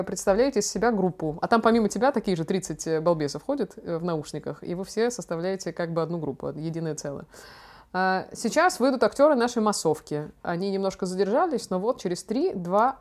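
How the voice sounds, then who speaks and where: A young woman hosting a podcast, chatting casually and telling personal stories in an expressive, slangy way. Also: mid-range voice. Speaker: podcast host. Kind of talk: casual chat, personal storytelling.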